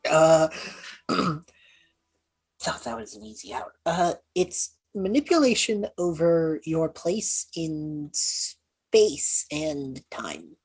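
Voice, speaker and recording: Woman as a husky, halting young mage lad. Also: deep voice, sing-song, heavily acted. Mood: awkward explaining. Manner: halting, evasive, rambling. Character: awkward, sheepish young male time-traveling mage student.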